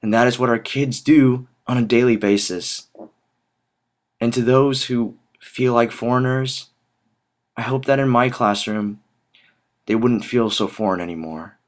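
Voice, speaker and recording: A young American man delivering a rehearsed personal story in measured, deliberate phrases with dramatic pauses. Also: medium pitch, steady tone. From Dallas, Texas, United States. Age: young adult. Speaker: student art teacher telling a personal story. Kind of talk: rehearsed spoken personal narrative.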